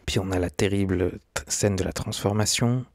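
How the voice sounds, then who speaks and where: A man's voice: deep voice, steady tone, moderate pace, a little mellow, no room sound, clean studio sound. A man giving scripted, documentary-style narration as a video essayist.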